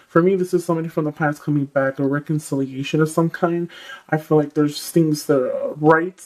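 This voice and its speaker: A man's voice: fairly high pitch, a little mellow. Scratchy-throated man reading tarot cards. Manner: rambling, many fillers and restarts, frequent throat clearing. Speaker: tarot reader. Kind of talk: casual tarot reading to camera.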